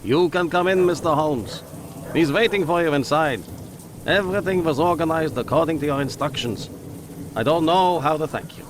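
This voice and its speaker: A man's voice: on the high side, moderate pace, natural voice. A man acting a polite, deferential, grateful helper to a detective in a video game. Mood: respectful, grateful. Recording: clear background noise.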